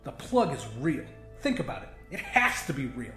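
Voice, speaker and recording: A man's voice: medium pitch, huge pitch swings, normal speed, a bit bright, echoey room, faint music behind. A man voicing a stubborn, scheming young man who speaks earnestly and urgently in a narrated story.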